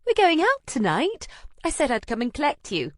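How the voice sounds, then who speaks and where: A woman narrator puts on a shrill, excitable friend, her lines pitched well up and pushed loud. She is brash and fussy, firing off exclamations. The audiobook recording is dry and close. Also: moderate pace, dry recording. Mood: chirpy, alarmed. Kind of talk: audiobook character voice.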